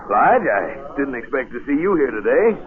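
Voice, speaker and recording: An actor in a 1940s radio drama playing an excitable colonial villager, eager, teasing and conspiratorial. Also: male voice, mid-range voice, moderate pace, natural voice. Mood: excited. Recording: muffled, noisy vintage 1940s radio broadcast.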